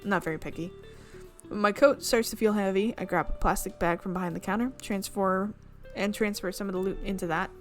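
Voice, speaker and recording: Woman reading out a visual novel for her channel, mid-pitched voice, trips over words and fixes them, faint music behind. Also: fairly even intonation, brisk. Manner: reads narration with frequent slips and self-corrections. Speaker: gaming video host.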